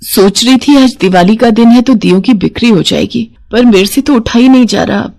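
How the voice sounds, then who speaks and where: A gentle, devout mother of a poor storybook family, played by a woman. Her voice sits fairly high and she speaks patiently, with a pleading note. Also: normal speed, lightly acted. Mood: weary.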